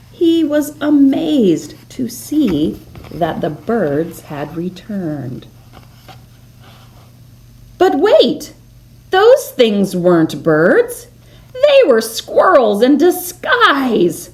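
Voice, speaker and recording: A woman narrates a children's story as a read-aloud host. Her delivery is slow and deliberate, and her pitch moves widely as she leans on key words for drama. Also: wildly swooping pitch, darkish tone. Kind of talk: expressive children's picture book narration.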